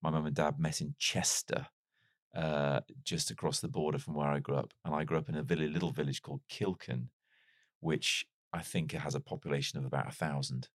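A man's voice: very deep voice, fairly even intonation, brisk, no room sound, clean studio sound. A man in his forties who grew up in North Wales, with a mild British accent that is not strongly Welsh, telling his life story in a casual interview. He talks in a reflective, wry, self-deprecating way. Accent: mild British English, not strongly Welsh. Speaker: podcast guest.

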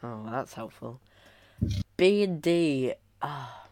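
A man's voice: medium pitch, lively intonation, takes it slowly, a little mellow, no echo. Male podcast co-host, bantering guesser, dry close recording. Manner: playful, reasons aloud while guessing. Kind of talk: casual chat.

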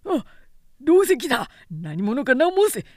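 Woman acting shrill, flustered male gate guard. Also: very animated, slow, no echo, studio quality, exaggerated voice. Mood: alarmed. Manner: shrill, urgent shouting.

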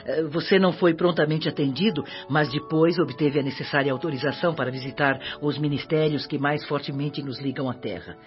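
A woman's voice: deep voice, brisk, few pauses, close to an everyday voice. An actress playing a kindly older spirit woman in a Brazilian radio drama, affectionate and earnest as she counsels. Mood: earnest advice. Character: kindly motherly older spirit woman giving humble advice. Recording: dull lo-fi, music underneath.

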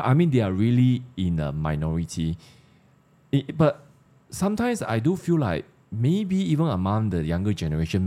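A man with a very deep, mellow voice and a Malaysian accent. He talks informally, as in a podcast discussion. His phrases come out haltingly, with words repeated while he works out the thought. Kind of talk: casual discussion of social issues.

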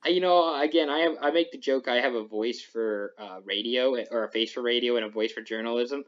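A young man hosts a casual sports podcast, his talk rambling and full of restarts. His voice sits at an ordinary pitch for a man. The recording sounds dull and lo-fi. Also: fairly quick. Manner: rambling, self-interrupting. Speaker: podcast host.

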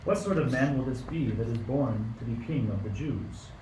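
A man's voice: mid-range voice, expressive, moderate pace, dark tone, natural voice. An amateur actor playing a stern, suspicious tyrant king in a radio play, with an imperious, declamatory delivery. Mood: measured, scheming. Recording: roomy, distant mic, faint noise.

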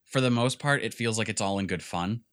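A young man with a low voice that has a forceful, bright edge. He chats in a relaxed, casual way on a podcast, retelling stories and quoting other people. The recording is dry and close. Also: fairly quick, no echo. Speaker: podcast co-host. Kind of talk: casual chat.

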